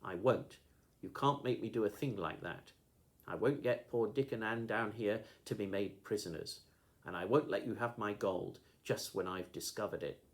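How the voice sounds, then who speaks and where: A man's voice: fairly low pitch, lively intonation, moderate pace. A man reading a storybook, voicing a fierce, stubborn young girl who turns pleading. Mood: defiant. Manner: defiant, pleading. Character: fierce stubborn tomboy girl, defiant then desperate.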